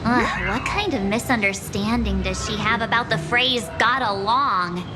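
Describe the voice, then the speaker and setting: Brief: bubbly teen girl adventurer for a game, high, expressive, drawing out words, music behind. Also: a little slow, poor audio. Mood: annoyed. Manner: expressive, exclamatory. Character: bubbly, excitable, easily irritated teenage girl traveller. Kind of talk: video game character acting.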